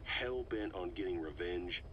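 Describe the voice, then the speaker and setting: A man playing a grave intelligence officer in a video game, giving a deliberate, brooding briefing with dramatic pauses. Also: low-pitched. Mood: grave, analytical. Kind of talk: scripted briefing on a cassette tape, video game acting. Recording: muffled cassette tape with steady noise.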